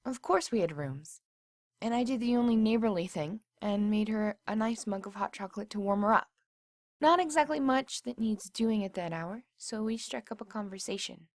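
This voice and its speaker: A woman's voice: mid-range voice, animated, normal speed, no room sound, clean studio sound, natural voice. A woman playing a chatty, cheerful small-town motel desk clerk, rambling in a folksy, bubbly way through a diary. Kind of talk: acted diary reading.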